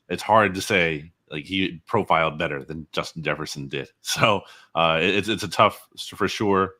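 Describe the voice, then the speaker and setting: A man's voice: sits low, sharp tone. A man giving conversational, opinionated sports commentary as an interview guest, often qualifying his points. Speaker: guest football analyst.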